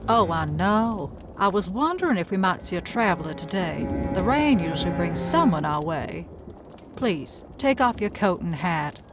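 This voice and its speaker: A woman's voice: on the low side, sing-song, music in the background. A woman playing a courteous, watchful frontier storekeeper in a western audio drama, with polite, formal phrasing. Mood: courteous, hospitable. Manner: polite, formal, measured hospitality.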